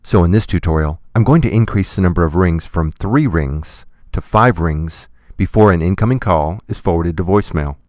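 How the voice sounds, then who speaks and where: A man giving scripted, step-by-step software tutorial narration in a methodical, instructional manner. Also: low-pitched, moderate pace, few pauses, dry recording, studio quality.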